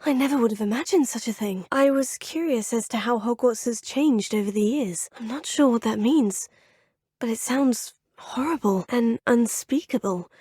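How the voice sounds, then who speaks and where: A proper young British student witch: polite, composed, slightly high, a bit breathy, dry studio read. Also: normal speed, a little mellow, no echo. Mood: earnest. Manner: polite, proper and well-spoken. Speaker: player character, student. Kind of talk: game dialogue voice clips. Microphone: close studio mic, dry.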